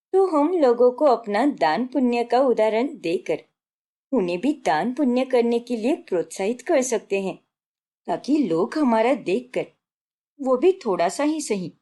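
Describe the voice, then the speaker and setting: Lady doing a braggy young bahu for a cartoon story, super animated, pushy, takes her time. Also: on the high side. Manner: bragging, insistent, peppers Hindi with English. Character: showy, boastful young newlywed daughter-in-law.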